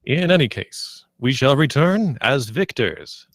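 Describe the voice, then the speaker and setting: A man's voice: mid-range voice, big pitch swings, measured pace, no room sound, studio quality. A man playing a suave, sentimental spaceship captain in his fifties, speechifying grandly at a comedy table read. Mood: grand, earnest. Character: suave fifty-something male spaceship captain, oddly sentimental.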